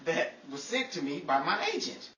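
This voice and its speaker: Woman acting chummy young-Hollywood actor guy, excitable, lively swooping intonation, 1940s radio comedy, distant echoey mic. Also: sits low, moderate pace. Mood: cheerful. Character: Henry, eager, chummy aspiring male actor in 1940s Hollywood. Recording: noisy echoey room, distant mic, clicks.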